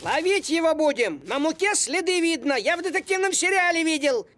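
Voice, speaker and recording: A man voicing a grumbling, practical, stubborn cartoon cat, expressive and indignant. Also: extremely high pitch, fairly quick. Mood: scheming. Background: music.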